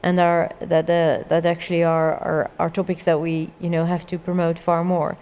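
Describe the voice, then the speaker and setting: A woman's voice: on the low side, completely flat delivery, moderate pace, darkish tone, clean tone. A woman from the Netherlands, a government minister, talking in a panel discussion in a conversational way, with many fillers. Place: conference hall stage. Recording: reverberant conference hall.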